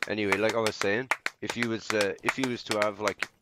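A man's voice: on the low side, little pitch movement, fairly quick. A man arguing in online game voice chat, mocking, salty and swearing freely. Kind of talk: heated banter in game voice chat. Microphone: gaming voice chat mic. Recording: very noisy game voice chat, clicking.

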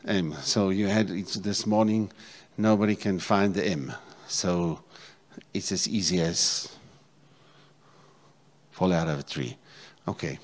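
A man's voice: deep voice, slow pace. A middle-aged South African man preaching a sermon as a pastor, repeating key phrases and checking in with his congregation. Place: church stage, indoors. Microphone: handheld microphone.

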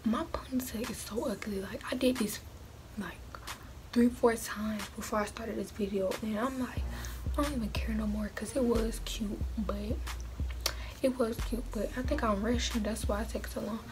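A woman's voice: fairly high pitch, steady tone, normal speed, background noise. Blunt, slangy young woman vlogger. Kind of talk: casual chat on video calls. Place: small bedroom. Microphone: phone camera at arm's length.

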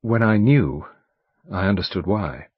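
A man's voice: low voice, expressive, measured pace, slightly rough, no room sound, exaggerated voice. A man reading an audiobook, voicing an earnest, determined young woman who speaks insistently. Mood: grave. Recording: dull lo-fi recording.

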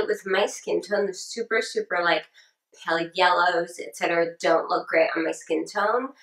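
Young woman, slightly hoarse, lowish voice, chatty beauty vlog, echoey room. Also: a bit bright. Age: young adult. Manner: chatty and upbeat, repeats words for emphasis. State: sore throat, losing her voice. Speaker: beauty vlogger. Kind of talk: casual product review to camera. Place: small room indoors with shelves. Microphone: camera at close framing.

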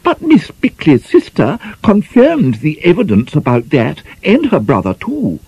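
A man's voice: a little high, huge pitch swings, unhurried, darkish tone, breathy edge, dry recording, voice pushed up, exaggerated voice, rough recording. A man voicing a prim young woman of twenty-four who protests indignantly at her friend's gossip.

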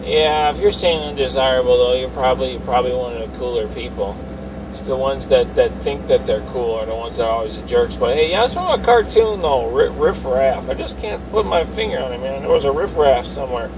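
A man's voice: mid-range voice, a little fast. A man making casual CB radio chatter in a vehicle, with repetitive call-sign patter. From Chicago. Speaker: CB radio operator. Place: inside a vehicle cab. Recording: noisy car cab, distant mic.

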